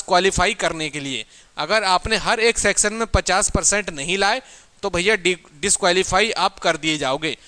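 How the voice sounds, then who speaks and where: Male coaching teacher, high-pitched and forceful, repeats key points and poses questions he answers himself. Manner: repetitive, asks questions and answers them himself. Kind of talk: informal exam strategy lesson.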